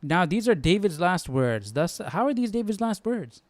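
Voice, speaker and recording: A man's voice: high-pitched, sing-song, moderate pace, dry recording. A man hosting a live Bible study, chatting informally and thinking aloud. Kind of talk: casual livestream chat.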